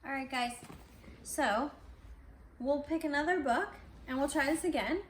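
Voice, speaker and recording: A woman's voice: high-pitched, unhurried. Casting: woman, parent reading to kids at home, conversational with explanatory asides, roomy sound with faint noise. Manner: conversational, explains pictures as she reads. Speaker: parent reading aloud to children. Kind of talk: casual picture-book read-aloud with asides to kids. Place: indoors at home.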